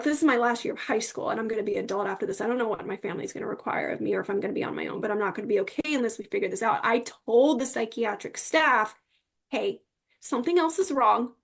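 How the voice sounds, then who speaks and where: A woman's voice: a little high, vocal fry. A young woman earnestly and emphatically telling her personal story as an interview guest.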